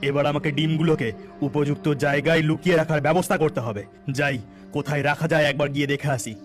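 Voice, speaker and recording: A man's voice: a little high, steady tone, quick pace, faint music behind, natural voice. A man playing a sneaky, jumpy snake charmer thief who exclaims and repeats himself in a cartoon. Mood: scheming relief.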